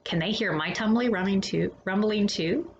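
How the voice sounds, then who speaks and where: A woman hosting a storytime, reading a children's picture book expressively with dramatic pauses and casual asides. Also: medium pitch, dull audio. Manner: animated, dramatic pauses between phrases. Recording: roomy echo with faint background noise.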